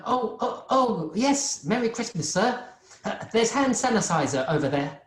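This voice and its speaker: A woman's voice: fairly high pitch, big pitch swings, a little slow. A woman playing a meek, anxious male office clerk in a radio play, hesitant and deferential. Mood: flustered, polite. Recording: roomy, echoing home recording.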